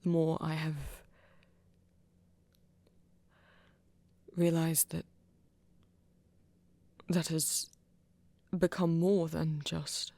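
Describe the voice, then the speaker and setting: A performer playing a flirty, earnest vampire in an ASMR romance roleplay, speaking haltingly and intimately in a husky, often half-whispered voice. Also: mid-range voice, little pitch movement, a little mellow, no echo. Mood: flustered, tender. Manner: hesitant, halting, breathy and intimate. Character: flirty, earnest vampire confessing love, soft-spoken.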